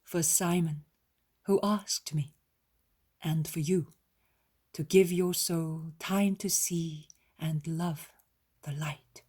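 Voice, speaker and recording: A gentle, solemn holy man, as a woman reads him, speaking slowly in a soft, breathy voice a little louder than the narration. He is calm and sure, never hurried. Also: sits low, heavily acted. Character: gentle, solemn male teacher and healer.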